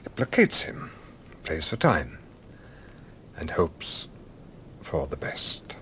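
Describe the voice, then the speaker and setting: An actor playing an older, courteous British gentleman scholar in a radio drama, musing and sometimes hesitant. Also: rumbling low, wildly swooping pitch, slow pace, a little hiss or hum, exaggerated voice. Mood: grave, musing. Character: genial, philosophical English gentleman scholar, older, gently evasive.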